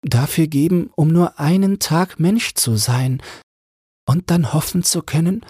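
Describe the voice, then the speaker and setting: A man voicing a young mermaid girl for a fairy-tale audiobook, soft and breathy, gentle, plaintive and yearning. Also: fairly high pitch, a little slow, dry recording, clean studio sound, voice pushed up. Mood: sad, yearning.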